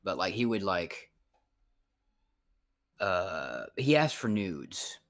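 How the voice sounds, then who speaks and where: A man's voice: sits low, big pitch swings, very slow, fairly bright tone. A man in his thirties in a casual voice chat, rambling and frank, swearing often. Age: 30s.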